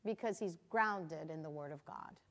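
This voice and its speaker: A woman's voice: low-pitched, normal speed. Woman, church host, encouraging, laughs easily, conversational. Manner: warm, laughs often, encouraging. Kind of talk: casual church testimony chat.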